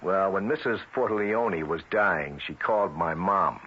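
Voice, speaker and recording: An actor playing a tough, suspicious federal agent with a brusque, streetwise way of questioning, in 1970s radio drama style. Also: male voice, sits low, lively intonation, measured pace, fairly bright tone, husky, exaggerated voice. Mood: calmer, explaining. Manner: brusque, interrogating, streetwise wisecracking. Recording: dull, noisy vintage radio recording.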